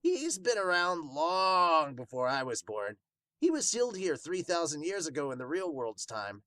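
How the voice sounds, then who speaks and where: A man's voice: a little high, very animated, moderate pace, sharp tone, no room sound, clean studio sound, pitched above the performer's own voice. A man voicing an earnest warrior beast, a devoted big brother, in a formal, dramatic manga dub.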